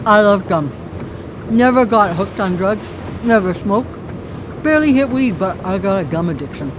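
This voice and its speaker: Radio drama: actress for wisecracking runaway bride, blunt and jittery, mid-range voice, noisy live sound with engine-like rumble. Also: female voice, expressive, moderate pace, natural voice. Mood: wry, chatty. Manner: blunt, teasing, chatty, self-mocking.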